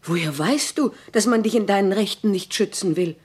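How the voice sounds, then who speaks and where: An actress playing a devoted, anxious but determined wife in a radio drama, earnest and pleading in old-fashioned language. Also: female voice, medium pitch, normal speed, a little mellow, husky, close to an everyday voice.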